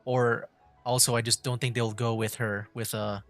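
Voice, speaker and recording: A man with a medium-pitched voice streams a game, musing out loud in a casual, halting way. Faint game music plays behind him. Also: little pitch movement, gravelly. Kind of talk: casual livestream commentary.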